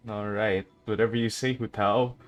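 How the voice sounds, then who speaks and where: A game streamer reacting casually out loud and talking back to the characters. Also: mid-range voice, steady tone, normal speed, highs cut off, soft background music. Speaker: streamer playing a video game.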